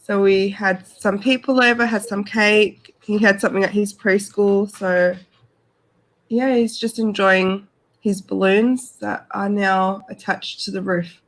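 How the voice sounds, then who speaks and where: A woman's voice: mid-range voice, fairly even intonation, measured pace, low-quality recording. A woman with an Australian accent, chatting casually as a panel guest and telling her own story.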